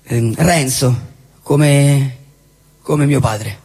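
Husky, glum man on stage. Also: medium pitch, extremely slow, darkish tone. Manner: glum, hesitant, repeats his words. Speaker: stage actor. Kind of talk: live theatre dialogue. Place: theatre stage.

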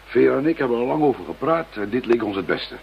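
A man's voice: mid-range voice, lively intonation, fast talker, gravelly. An actor playing a businesslike male investigator in a Dutch radio drama. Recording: muffled, noisy old radio drama recording.

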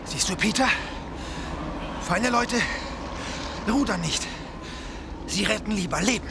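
An actor playing a snooty, sarcastic expedition member in a radio play, haughty and sardonic. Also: male voice, sits high, lively intonation, slow, gravelly, heavily acted. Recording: off-mic over loud stormy sea noise.

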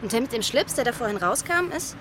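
A woman's voice: fairly high pitch, big pitch swings, fast talker, noisy background. An actress playing a direct, curious young woman in a German radio drama, casually forward and inquisitive.

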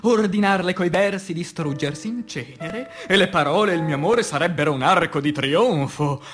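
A man performing a dramatic poetry recitation, declamatory and intense, with dramatic pauses and swings between hushed and forceful delivery. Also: a little high. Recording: voice over music bed. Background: music.

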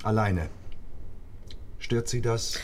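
An actor playing a defense lawyer who speaks in a formal, smoothly polite way. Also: male voice, on the low side, very slow, a little hiss or hum.

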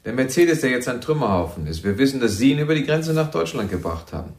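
A man's voice: mid-range voice, sing-song, fast, a little mellow. An actor in a radio drama playing a suspicious, formal male militant interrogator with a clipped, demanding manner. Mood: suspicious, insistent.

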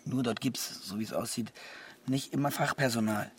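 Actor as an earnest, pedantic man, mid-pitched. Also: normal speed, slight background noise, close to an everyday voice. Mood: methodical. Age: middle-aged. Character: earnest, conscientious, slightly touchy middle-aged man. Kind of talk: naturalistic German radio drama dialogue.

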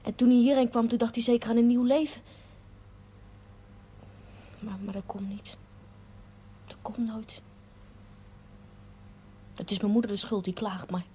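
Woman playing plucky young Dutch girl, high pitch, childlike, candid, rambling, some whispering, faintly noisy 1970s TV drama sound. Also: steady tone, unhurried, pauses often, warm tone, lightly acted. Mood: emotional, bitter. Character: naive, plucky pregnant girl from a poor family. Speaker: actress in television drama. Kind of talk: naturalistic 1970s television drama acting.

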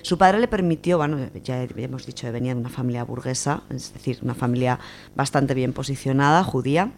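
A woman's voice: very deep voice, a little fast, a little mellow, slight background noise. A woman with an Aragonese Spanish accent presents a literary segment on a radio show, speaking in a chatty, explanatory way with many fillers.

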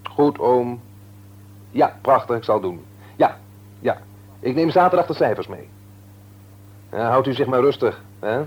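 An actor playing an easygoing, dutiful married shopkeeper in a radio serial, talking naturally with a mildly wry manner. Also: male voice, medium pitch, lively intonation, measured pace, long pauses, husky, dull audio. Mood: polite, dutiful. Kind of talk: Dutch radio serial drama acting.

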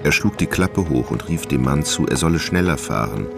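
A man narrating a literary radio drama in a measured, dramatic storytelling manner, in German studio style. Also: a bass voice, lively intonation, creaky. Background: plucked guitar music.

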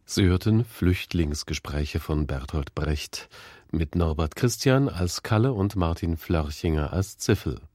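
Deep, husky male radio announcer. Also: darkish tone, no room sound, clean studio sound. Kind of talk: scripted broadcast closing announcement. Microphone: close studio microphone.

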